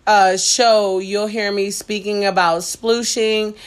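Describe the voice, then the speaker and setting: A woman's voice: medium pitch, little pitch movement, slow. A woman hosting a casual podcast from her kitchen, talking frankly and playfully and laughing often. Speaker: podcast host. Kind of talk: casual explicit podcast chat. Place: home kitchen, cooking while talking.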